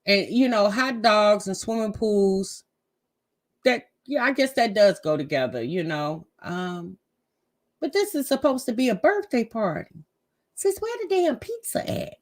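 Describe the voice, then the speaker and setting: A woman's voice: medium pitch, lively intonation, unhurried. An American woman giving casual, gossipy reaction commentary in a sassy, conversational way. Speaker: host reacting to a family vlog.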